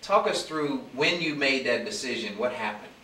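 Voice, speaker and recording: A man in his forties from the Midwest hosts a relaxed coffee-style interview, asking questions with chatty enthusiasm. He sounds a little far from the mic in a roomy space, with faint hiss behind. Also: medium pitch, normal speed. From American Midwest. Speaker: interview host. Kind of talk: casual interview. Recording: echoey room, distant mic, faint hiss.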